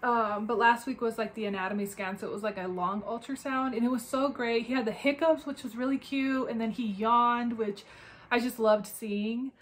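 Young woman, nasal, congested, slightly breathless, casual vlog chat, higher voice. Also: fairly even intonation, normal speed. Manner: chatty, many "like" fillers. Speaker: crafting podcast host.

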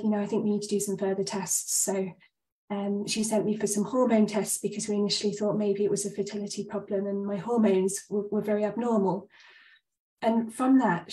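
A woman's voice: mid-range voice, warm tone, reverberant, far from the mic, poor audio. A woman in her thirties, a GP, giving a webinar talk while a bit stuffed up and breathless, earnest with frequent ums. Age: 30s. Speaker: GP giving a presentation. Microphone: video call.

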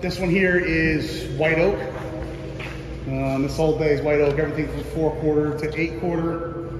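High-voiced man giving a noisy workshop tour. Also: fairly even intonation, normal speed. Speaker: mill worker giving a tour. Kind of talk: casual explanatory walking tour. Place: large woodworking mill and lumber warehouse. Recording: noisy workshop with machinery hum.

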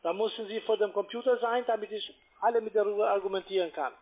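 A man with a foreign accent, speaking non-native German as he gives a telephone sales pitch. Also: fairly even intonation, fast talker. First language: not German. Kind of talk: sales pitch on a phone call. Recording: muffled narrow-band phone line.

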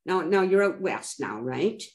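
A woman's voice: on the low side, big pitch swings, a little slow, darkish tone. An older woman from Montreal hosting an interview over a video call, asking easygoing, conversational questions. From Montreal, Canada. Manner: friendly, conversational questions with brief affirmations. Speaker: interview host. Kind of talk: casual interview.

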